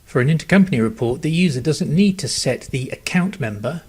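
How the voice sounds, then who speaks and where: A man narrating a scripted software tutorial in a measured, step-by-step instructional manner. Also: fairly high pitch, expressive, breathy edge.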